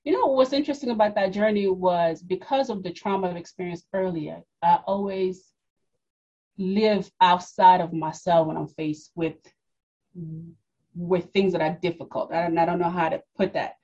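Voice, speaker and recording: Husky mid-forties woman, low mellow voice. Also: fairly even intonation, moderate pace, dull audio. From Democratic Republic of the Congo, raised in New York. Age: 40s. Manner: reflective, many fillers and restarts. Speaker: podcast guest. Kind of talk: personal story in an interview.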